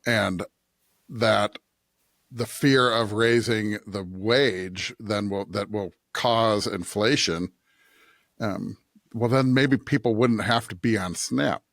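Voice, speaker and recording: An American man co-hosting a conversational religious podcast, thinking aloud in a halting way, often rephrasing himself and checking in with his listener. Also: on the low side, vocal fry, a bit bright, no room sound, clean studio sound. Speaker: podcast co-host, preacher.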